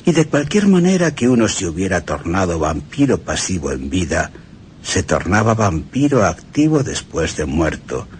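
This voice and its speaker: A man with a Spain Spanish accent reciting an old text for radio in a solemn, expressive way. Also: low voice, a little hiss or hum. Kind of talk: dramatic radio reading.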